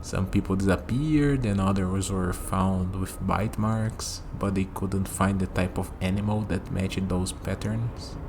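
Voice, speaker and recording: A man's voice: sits low, unhurried, few pauses, noisy background. A man acting a friendly, easygoing human on a night walk in an ASMR roleplay, musing conversationally to the listener. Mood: casual, friendly.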